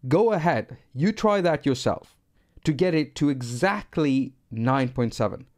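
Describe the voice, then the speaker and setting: A man's voice: mid-range voice, animated, a little slow. A middle-aged man from Sweden with a Swedish accent, rambling and self-deprecating as he teaches synthesis on a live stream. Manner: rambling, self-deprecating, repeats words when restarting. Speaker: music producer teaching synthesis.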